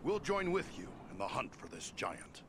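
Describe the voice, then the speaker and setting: An actor playing an earnest, honourable adventurer in a fantasy game, speaking plainly and sincerely. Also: male voice, medium pitch, very animated, normal speed, noisy background, natural voice. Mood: resolute, sincere. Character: earnest male fantasy hero, sturdy and honourable. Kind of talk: scripted fantasy game dialogue.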